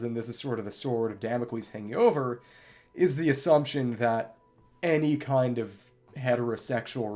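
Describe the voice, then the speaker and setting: A man's voice: medium pitch, darkish tone. A man chatting casually on a gaming livestream, wordy and thoughtful, often hesitating as he searches for words. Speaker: game streamer. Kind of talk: casual rambling livestream chat while gaming. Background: game music.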